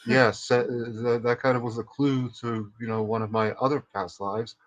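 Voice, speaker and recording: A man's voice: mid-range voice, little pitch movement, normal speed. A man in his fifties with a Czech accent, co-hosting a livestream and telling his story in a precise, methodical way. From Czech Republic. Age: 50s. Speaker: co-host. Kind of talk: livestream conversation.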